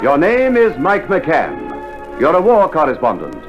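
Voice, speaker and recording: High, dramatic male radio announcer over music. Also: wildly swooping pitch, measured pace. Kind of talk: dramatic 1960s radio programme introduction. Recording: dull vintage vinyl, loud theme music. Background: loud music.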